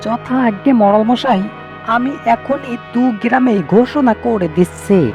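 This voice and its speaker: An eager village servant and crier talks in an extremely high cartoon voice. He is fawning and agreeable, always obliging. Music sits clearly behind the speech. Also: normal speed. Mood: deferential. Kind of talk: cartoon moral-tale acting.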